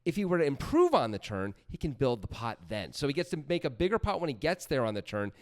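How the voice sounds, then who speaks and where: A man's voice: mid-range voice, very animated, fast. A man co-hosting a discussion show, arguing his points in an informal, emphatic conversational way. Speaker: poker podcast co-host analysing hands. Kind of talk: conversational commentary and analysis.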